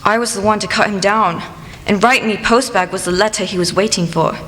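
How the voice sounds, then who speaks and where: A woman's voice: mid-range voice, fairly even intonation, a little fast, a bit bright, natural voice. A woman playing a folksy village postman in a hall. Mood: solemn. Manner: dialect turns, emphatic repetition. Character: folksy rustic village postman, talkative and gossipy. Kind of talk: amateur radio play acting. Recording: echoey hall with faint noise.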